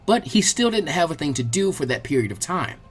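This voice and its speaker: A man reading a story aloud as an expressive, colloquial audiobook narrator, with a husky voice. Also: fairly high pitch, fairly quick. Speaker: fan fiction audiobook narrator.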